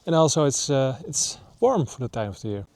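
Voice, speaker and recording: A man in his thirties from the Netherlands, a native Dutch speaker, talking casually to camera in a halting way with many fillers. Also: medium pitch, sing-song, darkish tone, no room sound. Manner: halting, many ums and uhs. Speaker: nature vlogger. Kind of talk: casual vlog talk to camera. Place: outdoors in northern Sweden.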